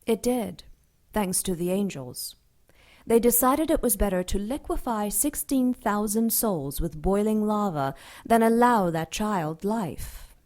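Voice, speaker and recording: An actress plays an ancient female dark angel, imperious and sardonic. Her delivery is deliberate and theatrical, with pitch that rises and dips freely. She taunts and condescends as if everyone else were beneath her, in audio drama style. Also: expressive, natural voice. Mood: cool, superior.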